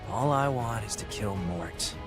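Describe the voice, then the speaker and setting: A man's voice: on the low side, animated, measured pace. A man playing a blunt, cocky, bloodthirsty mercenary fighter in a video game cutscene. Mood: grimly eager. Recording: loud music under the voice.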